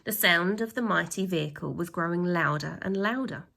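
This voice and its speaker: A woman reading a children's story aloud like a teacher, with expressive, drawn-out emphasis and acted sound effects. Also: on the low side, vocal fry.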